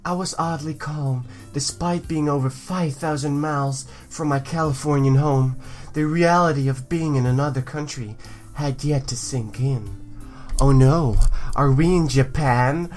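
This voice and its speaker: A woman hosting a let's play, switching between reading game text aloud and excitable, sweary commentary. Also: sits low, a little slow, soft background music. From Europe, near Belgium. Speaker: gaming video host.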